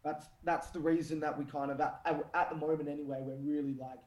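A man who coaches fighters at a gym, talking casually in a halting way with plenty of fillers, his voice croaky and hoarse. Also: on the high side, steady tone, a little mellow. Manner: halting, lots of fillers and restarts. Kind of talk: casual podcast chat. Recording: roomy echo.

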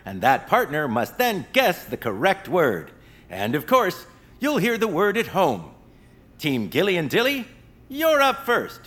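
A man's voice: high-pitched, a bit bright, full character voice. A man playing an officious radio quiz show host in an audio comedy, showy, polite and firm. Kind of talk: game show presenting, audio fiction.

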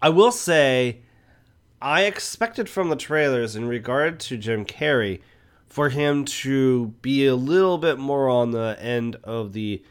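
A man's voice: medium pitch, lively intonation, slow pace, clean tone. A man co-hosting a podcast, talking through films in a casual, reflective, conversational way. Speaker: podcast co-host. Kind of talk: casual film review chat.

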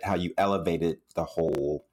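Deep-ish guy cohosting, playful chat, effusive and repeats stuff for emphasis. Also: moderate pace, a little mellow. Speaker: podcast co-host.

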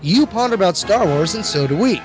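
A hoarse, scratchy-voiced man hosting a podcast with a showy, announcer-style scripted delivery. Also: high voice, expressive, brisk. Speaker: podcast host. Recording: dull lo-fi audio with music behind.

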